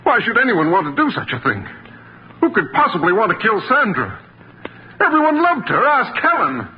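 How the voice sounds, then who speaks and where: A man's voice: high-pitched, huge pitch swings, normal speed. An actor playing a doting, anxious father with earnest, melodramatic 1940s radio acting. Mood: alarmed, desperate. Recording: noisy, muffled 1940s radio recording.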